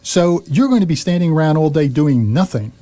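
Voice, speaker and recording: A man's voice: a little high, expressive, fairly quick, mellow, close to an everyday voice. An actor playing a bewildered, polite, put-upon ordinary man in a radio comedy, often hesitant and exasperated. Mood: reasoning, polite. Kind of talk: radio comedy drama acting.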